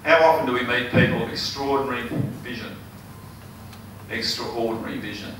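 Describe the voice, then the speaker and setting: An older Australian man with a hoarse, failing voice, giving an earnest campaign speech full of ums and uhs. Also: medium pitch, fairly even intonation, brisk, fairly bright tone. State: hoarse, losing his voice. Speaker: election candidate. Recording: echoey hall, mic far off, noisy.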